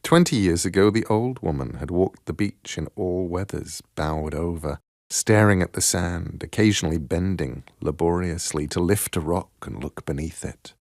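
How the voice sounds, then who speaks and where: Deep, gravelly man reading a story. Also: moderate pace, a little mellow, no echo, studio quality. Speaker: storyteller, narrator. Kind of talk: dramatic reading of a short story.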